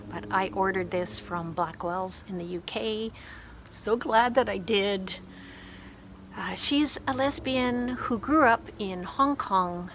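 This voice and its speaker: A woman's voice: medium pitch, fairly bright tone, a little hiss or hum. A woman talking casually and thoughtfully about books she loved, sharing recommendations at home. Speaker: book reviewer. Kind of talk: casual book review vlog.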